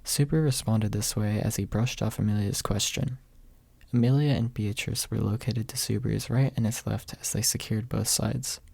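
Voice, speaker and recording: A young man with a soft, husky voice, reading quietly in an ASMR audiobook style, close to the microphone. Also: on the low side, steady tone, normal speed, dry recording. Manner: soft-spoken, hushed late at night. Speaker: amateur audiobook reader. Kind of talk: ASMR, quiet storybook narration. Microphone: close USB microphone without pop filter.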